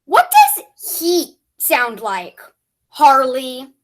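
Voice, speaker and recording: A woman playing a disgruntled sound technician in a stage comedy, with a sardonic, drawn-out way of speaking. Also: high voice, wildly swooping pitch, heavily acted. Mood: sarcastic.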